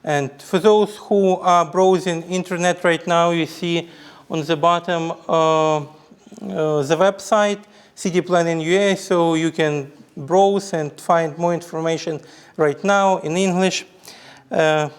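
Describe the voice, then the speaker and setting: Slow, clear Ukrainian-accented man. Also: a little high, steady tone. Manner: hesitant, many fillers. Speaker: conference speaker. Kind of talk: conference presentation.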